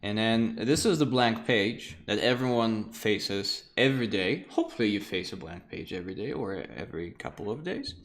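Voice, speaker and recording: A man's voice: fairly low pitch, expressive, moderate pace, hardly any pauses. A young man whose first language is Russian gives a casual, chatty art lecture on a livestream. He often laughs and talks loosely. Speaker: art lecturer streaming a class.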